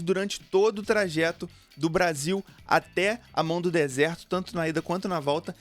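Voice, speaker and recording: High-voiced Brazilian man reviewing gear. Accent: Brazilian Portuguese. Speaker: motorcycle gear review video host. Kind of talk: product review narration to camera or voice-over. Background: background music.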